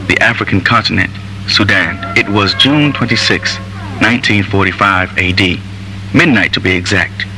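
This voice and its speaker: A man narrating a birth story in a measured, solemn reading. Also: low voice, poor audio. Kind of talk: reading a story passage aloud.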